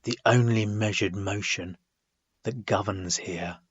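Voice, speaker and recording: A man reading his own poetry dramatically, in measured, deliberate phrases broken by frequent pauses. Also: fairly low pitch, fairly even intonation, slightly rough, no room sound, lo-fi sound. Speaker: poet reading own work.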